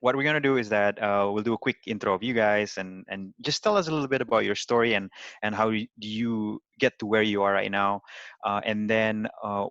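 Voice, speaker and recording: A man's voice: on the low side, brisk, a bit bright, no room sound. A man moderating an online panel. He is hesitant, with many "uh"s and restarted phrases. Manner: hesitant, many "uh"s and repeated starts. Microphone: video call. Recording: dry, dull lo-fi audio.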